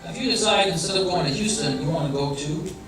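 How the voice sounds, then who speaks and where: Man, religious lecture, conversational, questioning, slightly high voice, heavy echo, distant mic. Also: fast talker, a bit bright, slight background noise. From Iranian family background. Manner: conversational, asks the audience questions. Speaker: speaker giving a religious lecture. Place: large hall or center. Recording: very echoey hall, heard from a distance.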